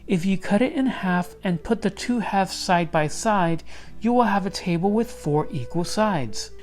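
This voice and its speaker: A man voicing Lady Di, a clever storybook wife, patiently explaining in a bright, helpful manner. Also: sits high, animated, unhurried, soft background music, voice pushed up.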